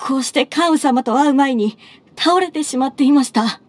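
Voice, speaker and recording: An actress playing an exhausted, humble young secretary in an anime game. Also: female voice, high-pitched, normal speed, natural voice. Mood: exhausted, pleading.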